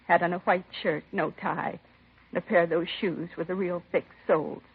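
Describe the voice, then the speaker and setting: Husky, stoic mother, vintage radio. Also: lowish voice, fairly even intonation, moderate pace. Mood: guarded, composed. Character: composed middle-aged mother of a slain son, stoic. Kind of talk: 1950s radio crime drama acting. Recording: vintage 1950s radio, narrow husky sound.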